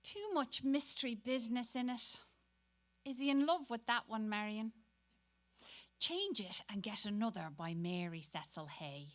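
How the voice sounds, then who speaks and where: A woman's voice: on the high side, unhurried, close to an everyday voice. A woman playing a bored, gossipy young typist who turns dutiful on the telephone, in a dramatic stage reading. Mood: idly musing.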